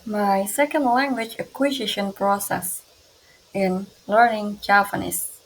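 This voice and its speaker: A young woman with an Indonesian accent presents a class report. She reads from her notes at a slow, deliberate pace, and her voice is soft and a little breathy. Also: mid-range voice, fairly even intonation, poor audio. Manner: slow, careful reading with grammar slips. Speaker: student presenter. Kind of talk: reading a class presentation aloud.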